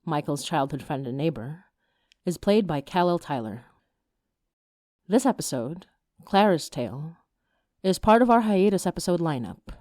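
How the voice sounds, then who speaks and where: A woman's voice: sits low, a little mellow, no echo, clean studio sound. A woman announcer reading scripted end credits and appeals to listeners for a podcast.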